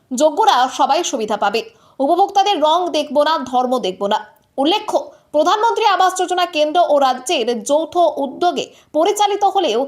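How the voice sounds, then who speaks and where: The high, fast voice of a woman, reading the bulletin on an online news channel. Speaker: news presenter for a political news channel. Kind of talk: scripted news narration.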